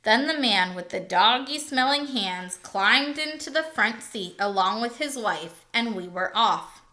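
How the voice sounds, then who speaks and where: A woman voicing a weary, scornful male hamster in a children's storybook, telling his tale in a grumbling, unhurried way. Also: fairly high pitch, lively intonation, pushed and bright. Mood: weary, indignant.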